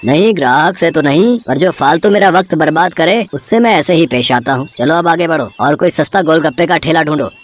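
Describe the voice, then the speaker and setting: A man's voice: a little high, fairly quick, hardly any pauses, close to an everyday voice. A man playing a proud, rude, greedy street-food seller in a cartoon moral story, boastful and dismissive. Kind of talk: acted Hindi moral-story cartoon dialogue.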